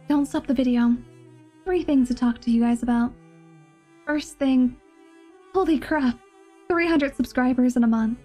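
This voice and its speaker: A woman's voice: high-pitched, mellow. A woman with a nasal, slightly stuffed-up voice from a cold, giving listeners a casual update as a channel host. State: mildly sick with a cold.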